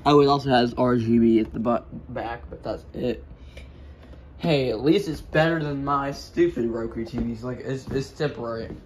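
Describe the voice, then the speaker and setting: A man's voice: medium pitch, moderate pace. A young man giving an offhand, rambling house tour in casual vlog style, talking into a handheld phone. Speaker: vlogger. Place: walking through a house. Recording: noisy handheld phone recording with clicks.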